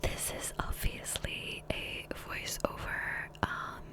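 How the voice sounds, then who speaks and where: A woman speaking in a soft, breathy whisper, rambling casually and unhurriedly in an ASMR gameplay voiceover on a close microphone. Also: slow pace. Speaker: ASMR creator. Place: voiceover recorded separately from gameplay. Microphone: Blue Yeti USB microphone, close.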